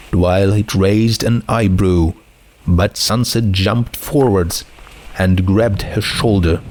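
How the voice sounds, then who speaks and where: Male narrator for fiction, lowish pitch, very unhurried pacing. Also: darkish tone, poor audio. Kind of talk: scripted story narration.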